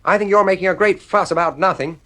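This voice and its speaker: An actor playing a coldly polite, defiant young son with a British accent and precise, formal delivery in a 1950s radio drama. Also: male voice, high-pitched, quick pace, pushed and bright. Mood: cool defiance. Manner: precise, cool, insolent formality.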